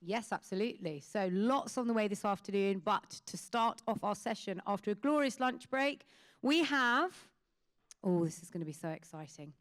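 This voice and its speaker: A woman's voice: medium pitch, expressive, moderate pace. A woman presenting live at an event, introducing guests in an upbeat, emphatic way. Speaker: presenter, session chair. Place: conference stage.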